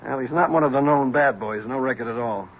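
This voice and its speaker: An actor playing a wry, unbothered police lieutenant in a 1970s radio mystery, talking in a dry, matter-of-fact way. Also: male voice, medium pitch, fast talker, slight background noise, close to an everyday voice. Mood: dryly wry. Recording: dull old radio broadcast.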